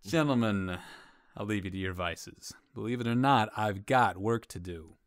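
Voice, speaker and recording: An actor playing a wry, crude bartender who talks in a folksy, colloquial way and tells jokes in an audio drama. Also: male voice, on the low side, normal speed, natural voice. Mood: easygoing.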